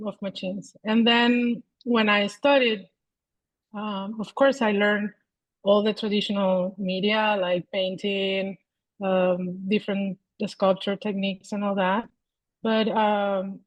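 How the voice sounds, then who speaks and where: A Venezuelan woman in her forties speaks over a video call, thinking aloud and often circling back to restart a phrase. Her voice sits at an ordinary pitch and is captured close and dry, with no room behind it. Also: no room sound, rough recording. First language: Spanish. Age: 40s. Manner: thoughtful, halting, many restarts and fillers. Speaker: guest artist.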